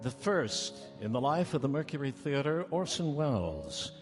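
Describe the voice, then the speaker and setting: A man's voice: mid-range voice, sing-song, moderate pace, natural voice. A man playing a grand 1930s radio announcer with dramatic, declamatory emphasis. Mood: grand, proclaiming. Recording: echoey town hall stage. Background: faint live music.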